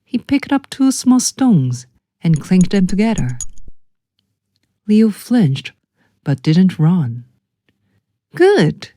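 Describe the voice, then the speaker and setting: Slow, expressive woman storyteller. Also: on the low side, dry recording, clean studio sound. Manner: deliberate, expressive phrasing. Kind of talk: children's storybook narration. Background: background music.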